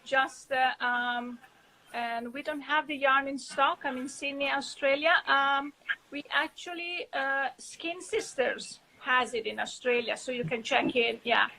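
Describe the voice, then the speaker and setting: A woman's voice: high-pitched, unhurried, gravelly, bright, forceful tone, rough recording. A woman with an Italian accent hosting a casual live video chat, talking loosely with many fillers and restarts. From Italy. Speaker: host.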